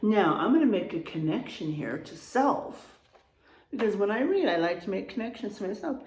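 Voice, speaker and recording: A woman teacher reading a children's storybook aloud in an expressive way, adding explanations and checking in with her young listeners. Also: mid-range voice, normal speed. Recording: roomy, echoing room sound.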